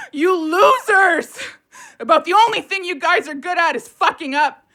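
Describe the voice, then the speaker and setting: A woman's voice: very high-pitched, expressive, a little slow, heavily acted. An actress playing a furious widowed mother in an audio drama, scornful and scathing. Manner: scathing, forceful. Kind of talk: emotional audio drama acting.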